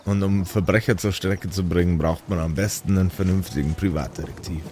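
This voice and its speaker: A shady cleric, played by a man, speaks in a very low voice. He is smug and insinuating and talks like a streetwise fixer in an improvised audio drama. The recording has clear background noise. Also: steady tone, fairly quick, a little mellow, close to an everyday voice. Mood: sly, threatening. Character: shady male cleric, smug, calling in favors.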